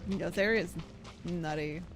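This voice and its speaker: A woman's voice: on the low side, normal speed, over music. A woman streaming a game and chatting casually as she plays. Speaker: live streamer.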